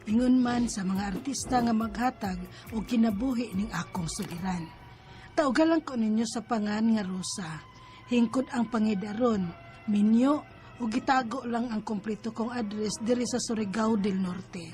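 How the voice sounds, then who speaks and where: A woman's voice: on the high side, moderate pace, dark tone, faint music behind, natural voice. An actress with a Cebuano Filipino accent playing a troubled married woman narrating her letter in a radio drama. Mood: earnest recounting.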